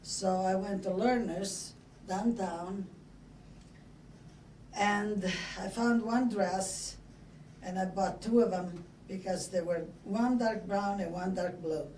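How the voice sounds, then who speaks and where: An older woman from Italy with an Italian accent, reminiscing casually and haltingly in an interview as she tells stories from her life. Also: mid-range voice, darkish tone. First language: Italian dialect. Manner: halting, chatty storytelling. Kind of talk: casual oral-history reminiscing. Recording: echoey room, distant mic, background noise.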